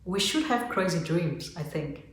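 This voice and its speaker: A woman with a Vietnamese accent, a yoga teacher hosting her channel, calmly guiding the listener through reflective questions. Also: on the low side, measured pace. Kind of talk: reflective self-help talk to camera. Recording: very echoey room.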